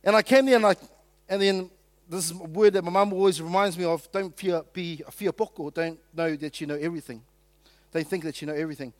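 Samoan-born kiwi pastor, high voice, telling stories n joking w the church. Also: male voice, steady tone, fairly quick. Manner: storytelling, joking with the congregation. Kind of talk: sermon with personal stories.

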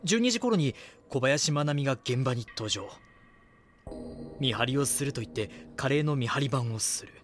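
A man's voice: medium pitch, expressive, moderate pace, soft background music, natural voice. A man acting an earnest magazine reporter in an audio drama, thinking through a case. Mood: brooding, puzzled. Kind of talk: Japanese audio drama acting, brooding monologue and dialogue.

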